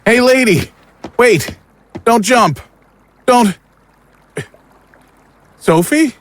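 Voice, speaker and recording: Animated male actor as blunt sidekick. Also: extremely high pitch, extremely slow, heavily acted. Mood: alarmed. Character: blunt, wry, unfit male sidekick investigator. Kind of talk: audio drama acting.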